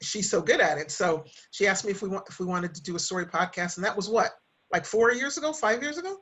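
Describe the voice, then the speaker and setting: A woman's voice: medium pitch, animated, fast, fairly bright tone, breathy edge. A woman chatting casually and telling anecdotes as a podcast guest.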